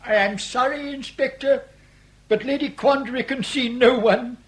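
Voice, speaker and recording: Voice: high voice, moderate pace, background noise, natural voice. An actor playing a loyal, protective household servant in a period radio drama, formal and deferential but firm.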